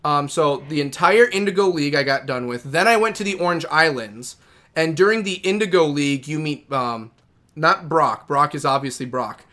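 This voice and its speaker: A man's voice: fairly high pitch, normal speed, bright, forceful tone. A man in his thirties streaming a game, thinking aloud in a rambling, chatty way. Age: 30s. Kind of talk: casual livestream chat.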